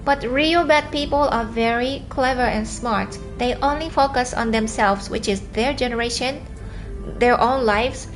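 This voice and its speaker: A high-pitched woman with a Japanese accent talks on a live stream from home. She speaks quickly and earnestly, often restarting her sentences as she reads and answers viewer comments. Soft music plays faintly in the background. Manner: earnest, fast-talking, with halting restarts. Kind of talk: casual live stream chat.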